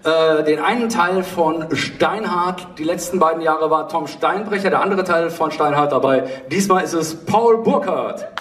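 A man moderating a live stage panel, pausing often and drawing out words. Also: on the high side, a bit bright. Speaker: panel host, audio drama producer. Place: theatre stage, indoors. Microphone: handheld mic over PA, recorded from audience. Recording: roomy, distant hall recording with noise.

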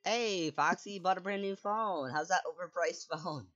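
A woman's voice: on the low side, normal speed, fairly bright tone, highs cut off. A woman hosting a gaming channel, chatting playfully and teasing a friend while they play. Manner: playful, teasing, gasping and exclaiming. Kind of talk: casual gameplay chat with a friend.

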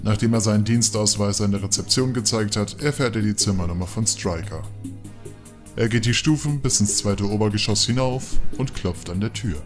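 A man reads scripted narration for a radio play. His voice is on the low side, with guitar music clearly playing underneath. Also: moderate pace.

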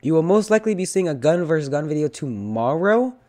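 Hoarse young man with a cracking voice. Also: fairly high pitch, sing-song, brisk, a little mellow. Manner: chatty, pleading with the loot, frustrated. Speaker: gaming channel host. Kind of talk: casual game commentary.

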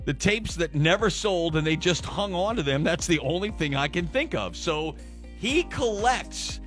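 A middle-aged male radio host with a lively voice that rises and falls a lot. He chats in a casual, self-mocking way, with faint music playing underneath. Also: high voice, a little slow. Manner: chatty, self-mocking, animated delivery. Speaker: radio morning show host.

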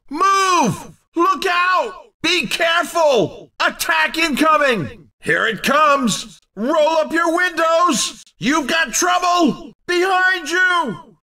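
A man playing a cheeky, excitable race commentator in a video game, calling the action in punchy, playful exclamations. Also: very high voice, distant mic, exaggerated voice. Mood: urgent warning. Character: hyped-up cheeky male racing game announcer. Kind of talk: excited arcade racing commentary.

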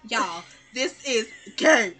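A woman's voice: on the high side, animated, takes it slowly, bright, forceful tone, soft background music, heavily acted. A woman voicing a sassy, catty talking spoon in an improvised cartoon fandub, campy and slangy, often close to laughing. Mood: flamboyant. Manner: campy slangy sass, exclaiming, breaking into laughter.

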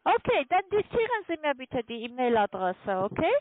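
A woman with a foreign accent speaking halting, non-native German on the phone, insistently repeating instructions as a call centre agent. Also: high-pitched, very animated, no room sound. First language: not German. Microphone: telephone. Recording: tinny, narrow phone line.

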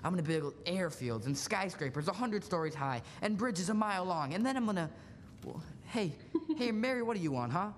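A man's voice: lively intonation, brisk. A young man playing an earnest, restless small-town dreamer in a radio play, talkative and stammering. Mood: eager, playful. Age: young adult. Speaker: student actor. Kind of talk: live radio play in 1940s manner. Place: large school auditorium stage. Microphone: stage stand microphone. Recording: echoey auditorium, faint hiss, rumble.

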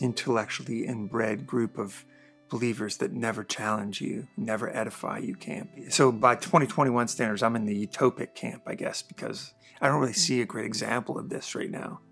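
Husky gravelly guy in his 40s, earnest church talk straight to camera, soft music under it. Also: mid-range voice, fairly even intonation, brisk. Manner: earnest, conversational, with emphatic bursts and quiet asides. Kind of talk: reflective monologue to camera. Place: dark studio backdrop, indoors.

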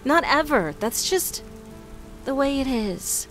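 Woman acting slow, high-voiced scrappy young woman. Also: lively intonation, a bit bright, faint music behind, heavily acted. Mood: pleading. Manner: colloquial, ungrammatical street talk. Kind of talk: audio drama acting.

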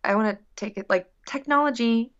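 A woman's voice: a little high, fairly quick, a bit bright, lo-fi sound. A woman musician being interviewed casually, talking in a long-winded, rambling, earnest way. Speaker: guest musician.

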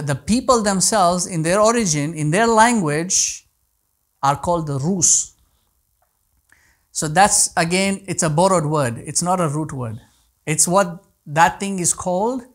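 A man's voice: high-pitched, slow. A man in his thirties whose first language is Kutchi, teaching a class from a small room and explaining things with many restarts. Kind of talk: online class lecture answering questions. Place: small indoor living room.

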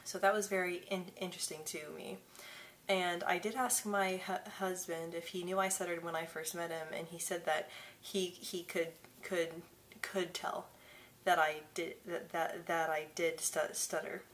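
A woman's voice: on the low side, flat delivery, normal speed, fairly bright tone, slight background noise. A young woman in her twenties talking casually to camera in a small bedroom, stuttering with many repeated syllables and blocks. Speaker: vlogger. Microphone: camera mic at desk distance.

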